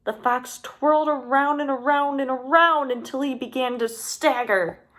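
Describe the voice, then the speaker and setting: A woman reading a children's storybook aloud in an expressive, deliberate manner aimed at young listeners. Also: sits high.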